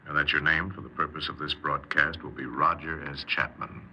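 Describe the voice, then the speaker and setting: An actor playing a calm, formal official who prompts a confession in a 1950s radio crime drama. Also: male voice, very deep voice, fairly quick, full character voice. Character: calm, official male interrogator taking a confession. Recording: very noisy 1950s radio recording.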